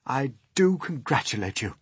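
Man as a courteous old grasshopper gentleman. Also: medium pitch, big pitch swings, husky, no echo, pitched above the performer's own voice. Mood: kindly. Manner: courteous, measured, formal. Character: wise, kindly old male grasshopper. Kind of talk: children's storybook character voice.

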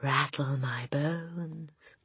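A woman voicing a talking parrot in a children's story, mimicking human speech with repetitive, eerie, drawn-out calls. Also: low voice, a bit bright, slightly rough, dry recording, full character voice. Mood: spooky, soft.

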